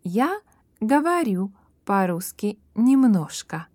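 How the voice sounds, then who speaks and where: A woman reads short lesson phrases word by word in a measured, very unhurried way, then says each phrase again in full. Her pitch rises and falls in a lively way, and the sound is dry and close. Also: no echo. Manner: deliberate, each word spoken separately, then repeated whole. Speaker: language lesson speaker. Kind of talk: slow, careful phrase reading with repetition.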